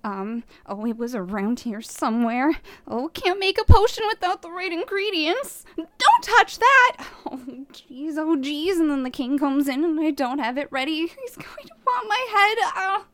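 Woman playing a high, flustered, scatterbrained alchemist. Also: sing-song, a little slow, a bit bright, no echo, heavily acted. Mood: panicked, apologetic. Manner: nervous, scatterbrained, stammering. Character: flustered, disorganised female alchemist shopkeeper. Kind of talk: fantasy game character lines.

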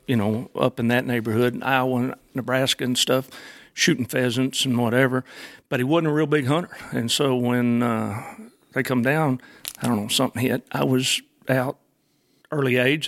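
A middle-aged man with an Arkansas accent chats easily as a studio guest. His voice is gravelly and his talk folksy and plainspoken, wandering a bit as he goes. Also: medium pitch, steady tone, moderate pace. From Arkansas, USA. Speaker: guest, custom gunsmith. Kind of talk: casual interview chat. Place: podcast studio.

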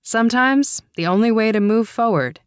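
A woman audiobook narrator reading a first-person memoir in a measured, deliberate way, with a clear, smooth voice. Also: fairly high pitch, expressive, no room sound, studio quality.

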